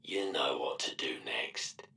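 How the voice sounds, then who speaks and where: An actor playing a watchful, weary scout in a video game, speaking in a breathy, hoarse whisper with terse, cagey phrasing. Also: male voice, rumbling low, lively intonation, slow, bright, forceful tone, slight background noise, full character voice. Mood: guarded, instructing. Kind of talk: video game cutscene acting. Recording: roomy, distant from the mic.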